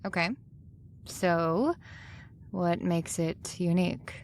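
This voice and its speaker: A woman playing a demanding, thoughtful high school art teacher in an audio drama, speaking in a deliberate, instructive way. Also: steady tone, slight background noise. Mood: critical, dry.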